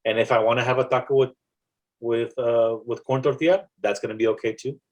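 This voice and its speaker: A man from South Texas with a South Texas accent, a poet talking about his work in a casual interview, reflective and halting, with many restarts. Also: mid-range voice, steady tone, dull audio. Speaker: poet guest.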